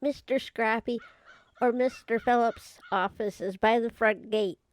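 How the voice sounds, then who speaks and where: A woman's voice: on the high side, fairly even intonation, unhurried, lightly acted. A woman playing an earnest young scrapyard girl in an old-time radio mystery, chatty and naive with folksy kid phrasing. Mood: helpful, confident.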